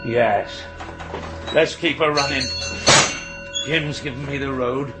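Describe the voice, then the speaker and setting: A man's voice: mid-range voice, steady tone, slow, reverberant, loud music behind the voice. An actor playing a middle-aged signalman and father, stern, brusque and harried, in a naturalistic radio drama. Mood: businesslike, terse. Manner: brusque, exasperated.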